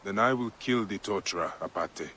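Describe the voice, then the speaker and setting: An actor playing a grim, solemn Egyptian warrior in a video game cutscene. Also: male voice, on the low side, a little fast, bright, forceful tone, slight background noise. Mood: grim, resolute. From Siwa, Egypt (in-story). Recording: game music behind the voice.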